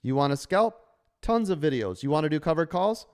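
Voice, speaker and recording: A man's voice: lively intonation, fairly quick. A man coaching stock traders on a live stream, upbeat and salesy while losing his voice, talking from a sunny room full of windows. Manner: upbeat, sales-pitch delivery. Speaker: trading coach and host. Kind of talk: live stream chat with members.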